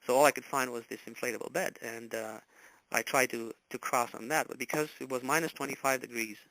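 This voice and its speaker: A man in his forties, from Romania, recounting a personal story as an interview guest, halting and full of filler words. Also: mid-range voice, fairly even intonation, sharp tone, dry recording, poor audio. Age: 40s.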